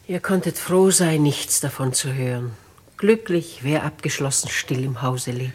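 An actress playing a noble, composed, compassionate older lady in a 1950s German radio drama, husky and measured, with dignified stage diction. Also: very deep voice, a little mellow, heavily acted. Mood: calm, reasoning. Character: noble, composed, compassionate elderly spinster lady questioning firmly. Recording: muffled vintage 1950s radio recording.

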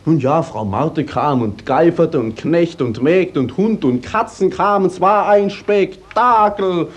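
Young farm lad, kinda high voice, slow and emphatic, indignant storyteller, old radio drama. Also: male voice. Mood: indignant, blunt. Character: hot-headed, plain-spoken young peasant man. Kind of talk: 1950s German classical radio play acting.

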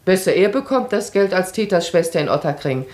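An actress playing a self-assured, perceptive baroness in a radio play, speaking decisively, eloquently and with emphasis. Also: female voice, fairly low pitch, expressive, fairly quick, darkish tone, lightly acted. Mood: insistent, impassioned.

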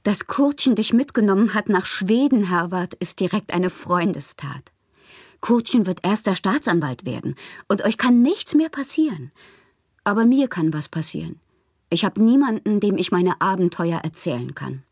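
An actress playing a passionate, capricious bohemian woman in a literary radio drama, theatrical and intimate, with a breathy voice. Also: mid-range voice, very animated, moderate pace, darkish tone, no echo, clean studio sound. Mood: playful, confiding. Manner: theatrical, intimate, often dropping to breathy murmurs. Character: dreamy, passionate, capricious bohemian woman writing love-struck letters.

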